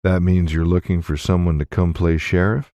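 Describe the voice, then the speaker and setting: Man playing a deep, wry Old West drifter. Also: little pitch movement, normal speed, mellow, dry recording. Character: laconic, cocky young male Old West drifter. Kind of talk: audiobook character voice.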